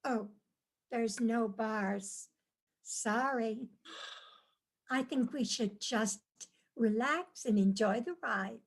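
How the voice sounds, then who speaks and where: A woman playing a patient, placid wife who gently chides and soothes, in a staged reading of a comic play. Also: fairly high pitch, takes it slowly, husky, full character voice. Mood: placating. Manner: gently chiding, placating.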